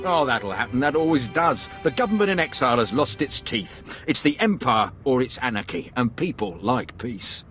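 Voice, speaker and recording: A man's voice: medium pitch, lively intonation, normal speed, hardly any pauses, fairly bright tone, soft background music, natural voice. An actor playing an eager male military captain in a video game, effusive and obsequious toward his superior. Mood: jubilant. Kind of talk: scripted game cutscene acting.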